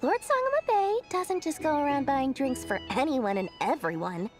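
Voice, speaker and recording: A woman's voice: sits high, expressive, moderate pace. A woman voicing a small, sassy, self-important but friendly merchant girl in a video game. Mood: indignant. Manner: self-important, playful, theatrical. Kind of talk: video game character acting. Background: game music.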